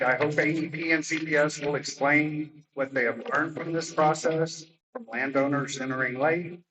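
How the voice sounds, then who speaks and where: A man's voice: mid-range voice, a bit bright. Distant man questioning at a public meeting. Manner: formal, pointed, reads prepared remarks. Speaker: landowner questioning utilities. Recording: distant mic with faint noise.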